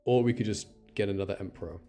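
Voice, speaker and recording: A man's voice: on the low side, fast talker, mellow, faint music behind. A man giving casual, chatty live game commentary, thinking aloud and correcting himself with mild exasperation. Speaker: game streamer.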